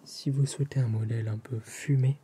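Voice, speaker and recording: A man with a French accent whispers softly and breathily in an ASMR roleplay, addressing the listener politely and formally as an attentive tailor, close to the microphone. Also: mid-range voice, steady tone, fairly quick, a little hiss or hum. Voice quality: soft, breathy whispering and hushed speech.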